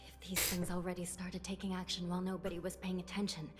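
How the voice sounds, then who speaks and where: A woman's voice: on the low side, completely flat delivery, normal speed, natural voice. A woman voicing a grave, worried young female prison guard in a video game. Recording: loud game music behind.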